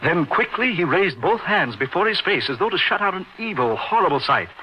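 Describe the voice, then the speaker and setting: An actor playing a haunted, intense man recounting his strange past in an old radio horror drama, with florid theatrical delivery and dramatic pauses. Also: high voice, sing-song, a little hiss or hum. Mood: rising terror. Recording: dull old radio recording with music behind. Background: dramatic music.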